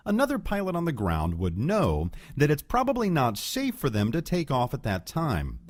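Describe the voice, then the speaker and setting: A male narrator delivers scripted instructional material in a measured, orderly way. His intonation moves up and down a great deal, while his pitch sits in a middle male register. The sound is dry, with no room around the voice. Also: darkish tone, no echo. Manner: clear, measured explaining.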